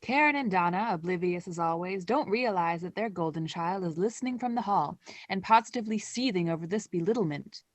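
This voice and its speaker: A woman's voice: lowish voice, expressive, brisk, hardly any pauses, dry recording. A young woman playing the wry narrator of a scripted student radio play. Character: wry storyteller commenting on the characters.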